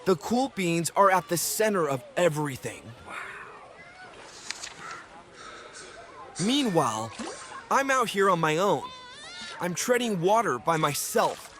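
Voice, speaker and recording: A woman's voice: fairly low pitch, sing-song, normal speed, fairly bright tone, a little hiss or hum. A woman voicing an anxious, eager young cartoon bean in an exaggerated, hesitant children's read-aloud style. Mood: wistful, hopeful.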